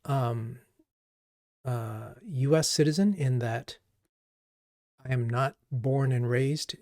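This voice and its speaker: A man from Japan hosting a coding livestream as a coach, chatting casually and haltingly as he thinks aloud. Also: medium pitch, darkish tone, dry recording, clean studio sound.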